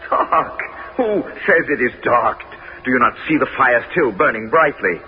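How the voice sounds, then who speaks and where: A man's voice: medium pitch, expressive, moderate pace, close to an everyday voice. Ulysses, a man playing a cunning hero king. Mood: scheming. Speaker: narrator and lead character. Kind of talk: 1970s radio drama acting. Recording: dull old radio broadcast with loud hiss.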